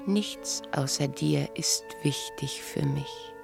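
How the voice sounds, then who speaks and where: A proud, knowing, mature wife in a radio drama. She speaks slowly and deliberately with a creaky edge, irony cutting through each phrase. Faint music sits behind her. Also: sits low, full character voice. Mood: proud, ironic. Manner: measured, ironic, deliberate. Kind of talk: radio play acting, literary dialogue.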